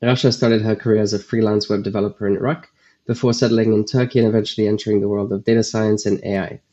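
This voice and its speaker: A male podcast host with a low, mellow voice reads his introduction, then asks questions with some hesitation. The recording sounds dull and lo-fi. He speaks in a relaxed way. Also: fast. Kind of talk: scripted intro and interview questions.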